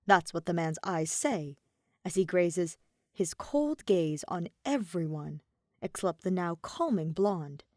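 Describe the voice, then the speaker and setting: A woman reading scripted fiction as an expressive story narrator. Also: on the low side, dry recording, studio quality. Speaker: podfic narrator.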